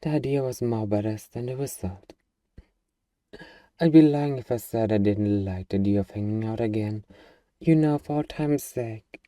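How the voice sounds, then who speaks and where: A very dark, muffled voice in a dry space, a little way off the mic, plays as an acted role a hardened zombie apocalypse survivor. The delivery is sarcastic and swaggering, with a casual, defiant edge suited to an ASMR audio drama. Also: mid-range voice, normal speed, dry recording, far from the mic, natural voice. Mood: hushed, wry.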